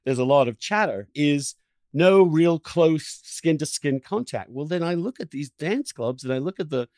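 A man's voice: a little high, lively intonation, normal speed, darkish tone, no echo, clean studio sound. A man hosting an interview in an impassioned conversational style, stressing his points emphatically with repeated words and piled-up phrases. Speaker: podcast host interviewing a guest.

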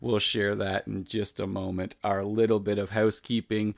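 Low-ish guy hosting a trail podcast, upbeat and thankful, dry close mic. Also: level tone, normal speed, creaky, dry recording. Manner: upbeat and appreciative. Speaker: podcast host. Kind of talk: podcast intro and interview.

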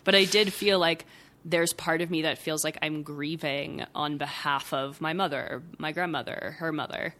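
Young woman guest with a bright, clear tone; reflective and meandering, full of filler words and small laughs. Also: sits low, steady tone, moderate pace, a little hiss or hum. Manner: thoughtful, rambling, many fillers and soft laughs. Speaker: podcast guest, writer. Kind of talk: casual reflective conversation.

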